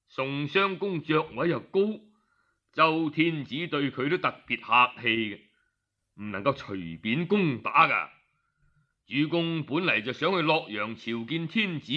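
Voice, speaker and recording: Male narrator as canny Cantonese minister, earnest persuasive counsel, drops below his narrating pitch, bright edge, lo-fi. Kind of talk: storytelling character voice. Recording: dull, lo-fi audio.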